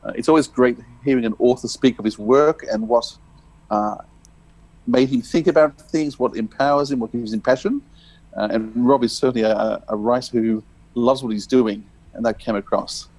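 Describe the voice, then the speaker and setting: A man speaks as a podcast co-host in casual chat. His voice sits at an average male pitch, and the recording is very dry, free of room sound. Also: normal speed, darkish tone, no echo, rough recording.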